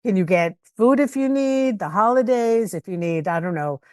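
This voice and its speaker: An older woman hosting a podcast interview, chatty and expressive, who asks questions eagerly. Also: mid-range voice, smooth, dry recording, studio quality. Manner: chatty, expressive, quick to laugh. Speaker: podcast host. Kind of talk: casual interview.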